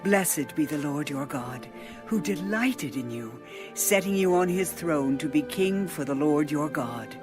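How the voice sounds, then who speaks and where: An ancient queen addresses a king with reverence and admiration. Her delivery is slow, stately and measured, in dramatized audio scripture style, with music playing underneath. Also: low-pitched, animated. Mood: reverent awe. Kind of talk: dramatized audio Bible reading.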